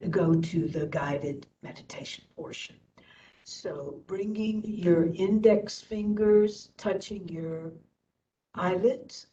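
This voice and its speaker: Very slow-speaking woman guiding meditation. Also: mellow. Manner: slow, pausing between short phrases. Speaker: meditation and yoga instructor. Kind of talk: guided meditation. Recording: distant mic, dull, faint rumbling noise.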